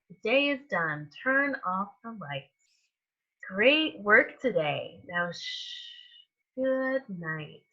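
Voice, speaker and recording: A woman reading a picture book aloud to young children as a storytime host, in an expressive manner that stretches out words and softens endings. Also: a little high, muffled recording. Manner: animated, with drawn-out words and hushed endings.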